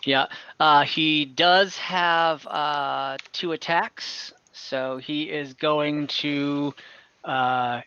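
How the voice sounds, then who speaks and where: A man's voice: fairly high pitch, fairly even intonation, very slow, pushed and bright, clear, resonant tone, no echo, highs cut off. A man acting as game master of an online tabletop game, explaining rules with many hesitations and fillers. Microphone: computer mic on a video call.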